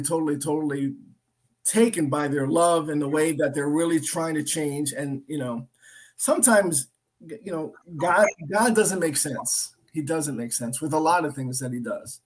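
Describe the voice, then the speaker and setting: A man's voice: fairly high pitch, unhurried. A middle-aged man with an American accent, teaching the Bible informally in an earnest storytelling way, repeating phrases for emphasis. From Philadelphia area, United States. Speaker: preacher.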